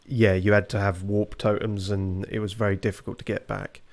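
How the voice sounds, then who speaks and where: A man's voice: lowish voice, fairly quick. A man hosting a gaming video in casual, chatty commentary, his voice sometimes breaking. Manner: relaxed, chatty, reads viewer comments aloud. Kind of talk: casual let's-play commentary.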